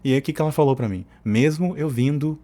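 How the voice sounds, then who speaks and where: A man's voice: mid-range voice, quick pace, warm tone. Man giving opinions. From southern Brazil. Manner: opinionated, digressive, colloquial. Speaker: host of an opinion channel. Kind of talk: informal political commentary.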